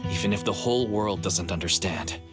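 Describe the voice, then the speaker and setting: An actor playing a supportive, resolute young man in a video game, speaking calmly and earnestly to reassure. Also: sits low, a little fast, music in the background, natural voice. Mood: earnest, reassuring. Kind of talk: dramatic video game dialogue.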